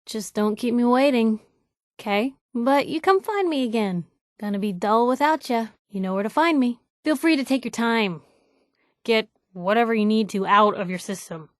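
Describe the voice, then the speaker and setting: Game companion, woman playing a nosy, wry young American newspaperwoman; slightly high voice, snappy informal delivery, very dry recording. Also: lively intonation, moderate pace, dry recording, close to an everyday voice. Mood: casual. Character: feisty, nosy young woman newspaper reporter, loyal and blunt. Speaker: video game companion character. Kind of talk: acted game dialogue.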